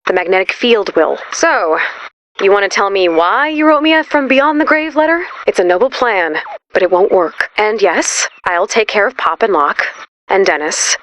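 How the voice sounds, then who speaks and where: An actress playing a brisk, blunt woman scientist in a video game, with a dry, matter-of-fact, businesslike delivery. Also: medium pitch, animated, a bit bright, lightly acted. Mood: dry, resolute. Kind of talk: video game dialogue voice lines.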